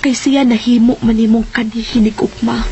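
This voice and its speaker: A woman's voice: on the high side, level tone, moderate pace, mellow, soft background music, natural voice. An actress playing an earnest, anxious woman confiding in someone in a radio drama, with emphatic delivery. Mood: anxious, urgent.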